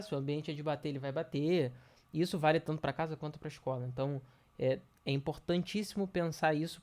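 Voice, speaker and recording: A young adult man with a Brazilian accent explains things to parents in a loose, conversational way. His voice is stuffy and nasal from a cold. He pauses with fillers and restarts his sentences often. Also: a little high, darkish tone. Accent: Brazilian Portuguese. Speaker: educator. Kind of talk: casual educational talk to parents.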